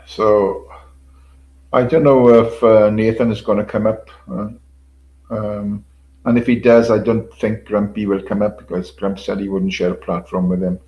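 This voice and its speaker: A man's voice: fairly low pitch, steady tone, measured pace, dull audio. An older man hosting a casual livestream, rambling, repeating himself, swearing casually and chuckling to his viewers. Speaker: livestream host. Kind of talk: casual solo livestream chat.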